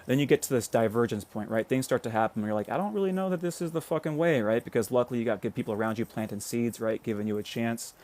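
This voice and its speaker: An American man talking through a political livestream at a rapid clip, his voice sitting at an everyday middle pitch. He rambles loosely, swears freely and tags nearly every thought with "right?". Now and then he breaks off to greet viewers by name. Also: expressive, darkish tone. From United States. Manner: reads out and answers viewer comments. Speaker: livestream host. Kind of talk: casual political livestream monologue.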